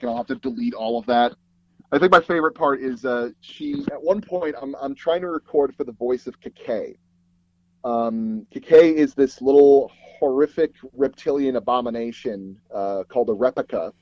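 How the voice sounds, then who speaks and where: A man's voice: mid-range voice, fairly quick, no echo, highs cut off. A man giving a casual interview, telling stories in a rambling way with many restarts, recorded in a small padded closet booth. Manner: rambling, animated storytelling with frequent "like" and repeated false starts. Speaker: interview guest, sound designer and audio drama producer.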